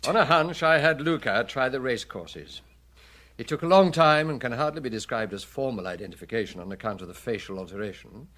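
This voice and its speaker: Radio play: male actor as wry, deadpan police detective chief, British accent, mid-range voice with very varied intonation. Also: mid-range voice, brisk, close to an everyday voice. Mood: drily teasing. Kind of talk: radio drama acting.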